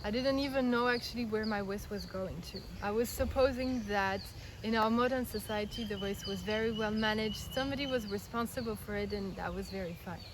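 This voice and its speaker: A woman in her thirties from Belgium, raised in France, calmly explaining her views in an interview, earnest and step by step with frequent restarts. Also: medium pitch, fairly quick, few pauses. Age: 30s. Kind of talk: casual explanatory interview answers. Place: outdoors in India. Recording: outdoor recording with clear background noise.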